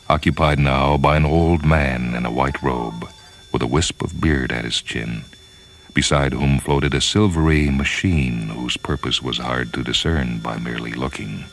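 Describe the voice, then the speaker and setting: A man narrating a scripted story in a measured, solemn manner. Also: rumbling low, a little hiss or hum. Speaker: narrator, reader. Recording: music behind.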